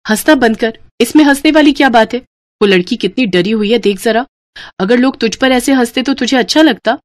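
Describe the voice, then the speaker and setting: A woman's voice: fairly high pitch, a little fast, no echo, pitched above the performer's own voice, natural voice. A woman voicing a kind, protective young bride in a cartoon story, speaking in an earnest, caring manner. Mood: scolding.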